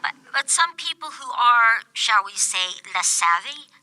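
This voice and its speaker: Actress as obtuse phone operator in radio comedy: polite customer-service patter, high, very bright voice, never ruffled. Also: female voice, lively intonation, unhurried, dry recording, full character voice, low-quality recording. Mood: obliviously helpful. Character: Wanda, polite but obtuse, unflappable female call-centre operator. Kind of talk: radio play comedy acting.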